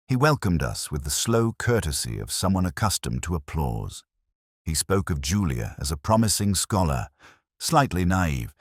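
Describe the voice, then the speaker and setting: A man reading scripted literary detective fiction as narrator, in a measured, formal and brooding manner. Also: a bass voice, no echo, clean studio sound.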